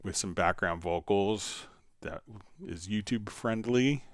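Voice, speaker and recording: A man hosting a live software tutorial in an informal, conversational way, thinking aloud as he demonstrates. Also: on the low side, slow, dry recording, clean studio sound. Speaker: livestream host and software instructor.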